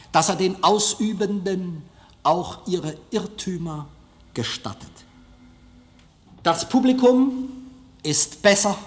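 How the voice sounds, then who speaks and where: A man giving a public lecture in emphatic, deliberate phrases with rhetorical repetition, recorded in a lecture hall. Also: fairly high pitch. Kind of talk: public speech, declamatory lecture.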